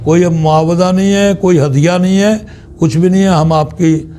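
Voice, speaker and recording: A man with a Pakistani accent giving a religious teaching talk as a spiritual guide, in deliberate, halting phrases with pauses. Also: sits high, fairly even intonation, dark tone.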